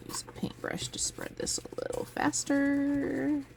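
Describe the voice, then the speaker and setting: Sniffly, gravelly-voiced woman crafting. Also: high-pitched, a little slow. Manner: chatty, relaxed, explains as she works. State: sniffly from a cold. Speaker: crafting channel host. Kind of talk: casual craft tutorial. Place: home craft table with a fan running. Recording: faint fan noise in background.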